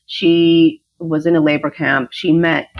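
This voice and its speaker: Gravelly, husky woman author, native Ukrainian speaker. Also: sits low, unhurried, no echo, highs cut off. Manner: animated, fluent storytelling with small restarts. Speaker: author, guest. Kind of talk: interview about her novel.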